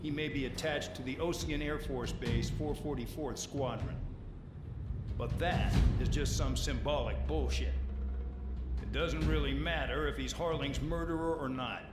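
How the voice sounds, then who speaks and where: An actor playing a cynical, domineering military commander of a penal unit in a video game, speaking bluntly and with contempt. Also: male voice, fairly high pitch, unhurried, natural voice. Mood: contemptuous, commanding. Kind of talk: video game cutscene acting. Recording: echoey room under loud music.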